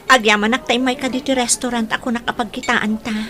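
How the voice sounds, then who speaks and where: A woman's voice: on the high side, little pitch movement, fairly quick, pushed and bright. A woman playing a heartbroken, pleading young woman with an Ilocano accent in an emotional radio drama. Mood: tearful. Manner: plaintive, tearful. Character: heartbroken young woman, tearful, pleading, just dumped by boyfriend. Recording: music bed under the voice.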